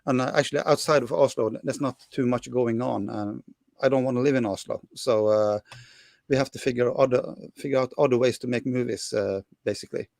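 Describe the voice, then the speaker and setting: A middle-aged Norwegian man answers interview questions in slow, halting English, stopping often to search for words. His voice has a gravelly, creaky texture. The sound is close and dry, with almost no room around it. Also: medium pitch, fairly even intonation, a little mellow, dry recording. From Norway. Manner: hesitant, many fillers and restarts. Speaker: film director guest.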